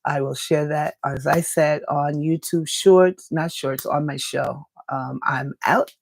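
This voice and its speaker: A woman hosting a live talk radio show with a raspy voice and a dry throat, lecturing earnestly and answering viewer comments. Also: low voice, fairly even intonation, slow, no echo. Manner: earnest, lecturing, with small laughs and restarts.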